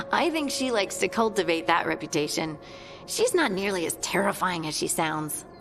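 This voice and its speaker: A woman playing a warm, earnest young scout in a fantasy video game, chatting in a friendly, conversational way. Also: fairly low pitch, big pitch swings, measured pace, gravelly, over music, heavily acted. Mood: fond, amused. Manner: chatty, friendly, lightly amused.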